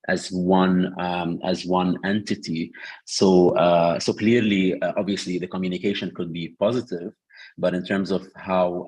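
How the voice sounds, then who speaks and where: A man with a very low, husky voice speaks on a panel. He answers with steady thought, stumbling and restarting often around fillers. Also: poor audio. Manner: hesitant, many fillers and restarts.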